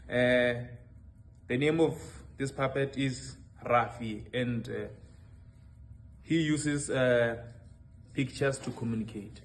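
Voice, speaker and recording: A young man gives a hesitant student introduction to an audience. His pitch is average, and a little noise can be heard behind him. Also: slow pace, pauses often. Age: young adult. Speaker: student puppeteer.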